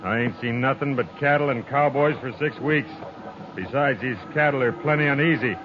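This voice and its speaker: A stubborn, weathered cattle boss drawls his words, as a man acts him out. He has a middling voice on a dull, muffled old radio recording, with loud outdoor ambience and lowing cattle behind him. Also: normal speed, noisy background, close to an everyday voice. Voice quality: drawling frontier speech. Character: gruff male Texas trail boss, weathered and stubborn. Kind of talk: 1950s radio drama acting.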